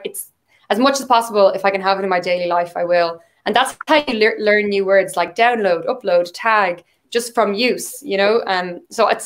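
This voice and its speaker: An Irish woman, a poet, chatting in a casual interview and filling her talk with "like" and "um". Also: medium pitch. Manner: chatty, with frequent "like" and "um" fillers. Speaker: poet and guest.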